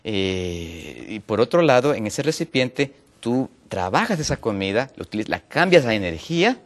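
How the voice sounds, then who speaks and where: A man from Ecuador, a natural medicine health counselor, explaining as a TV guest with many hesitant fillers and pitch rising on his points. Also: big pitch swings, moderate pace. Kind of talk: explaining on a TV talk show.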